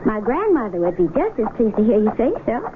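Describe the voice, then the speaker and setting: A woman's voice: on the high side, very animated, fast. An actress playing a friendly, chatty country girl of about twenty in a radio drama. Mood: pleased, chatty. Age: young adult. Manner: bright, neighborly chatter. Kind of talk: 1970s radio drama acting. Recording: noisy, muffled old radio recording.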